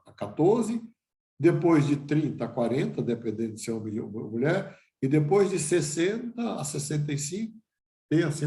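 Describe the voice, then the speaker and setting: A man's voice: mid-range voice, dark tone. Older male lecturer, echoey room. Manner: reflective, rhetorical questions, frequent restarts. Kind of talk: lecture to a class.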